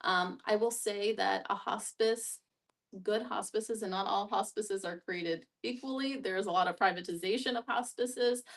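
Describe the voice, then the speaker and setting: A woman's voice: mid-range voice, moderate pace. A woman speaking as a discussion facilitator, giving thoughtful, explanatory answers in a conversational way. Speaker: guest facilitator, hospice social worker. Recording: distant mic.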